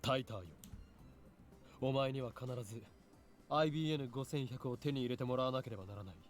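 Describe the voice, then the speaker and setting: A man's voice: medium pitch, racing, soft background music, lightly acted. A man voicing a brash young self-styled mad scientist, commanding and defiant, in a game. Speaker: game character voice. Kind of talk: Japanese game voice acting.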